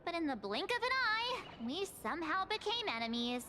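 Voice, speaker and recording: Tiny squeaky game mascot. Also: female voice, big pitch swings, moderate pace, sharp tone, heavily acted. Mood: plaintive. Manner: squeaky, chirpy, childlike. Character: tiny, cute, eager mascot creature aboard a space train.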